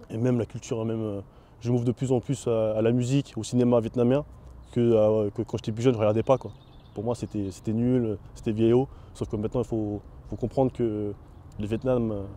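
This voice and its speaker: A man's voice: medium pitch, warm tone. A young French man giving a casual, hesitant interview testimony, full of fillers and restarts. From France.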